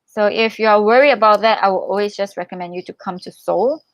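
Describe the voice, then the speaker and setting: A young woman hosts a casual livestream, reading questions and answering them in a chatty, expressive way. Her voice sits at a middle pitch for a woman, in a dry, close sound with little room echo. She gives advice in a reassuring, informal manner. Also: quick pace. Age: young adult. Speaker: livestream host, international student in Korea.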